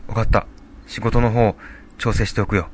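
A man playing a calm, supportive young father and husband in a radio drama, speaking in a low-key, casual way. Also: moderate pace, a little hiss or hum, lightly acted. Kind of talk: amateur radio drama dialogue.

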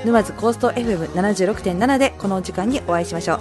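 A woman's voice: mid-range voice, fast talker, music in the background. A woman hosting a radio show, presenting segments and interviewing her guest. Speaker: radio show navigator, host.

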